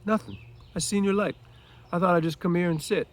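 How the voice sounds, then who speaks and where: A man's voice: sits high, fairly quick, voice pushed up, natural voice. A man doing a childlike, eager big farmhand. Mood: timid. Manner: simple, plaintive, folksy rural speech. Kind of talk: storybook read-aloud character voice.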